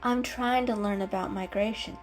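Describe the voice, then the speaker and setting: A woman voicing a friendly, bookish female house cat in a storybook reading, with an eager, warm and inquisitive manner. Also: mid-range voice, fairly even intonation, moderate pace, soft background music, natural voice.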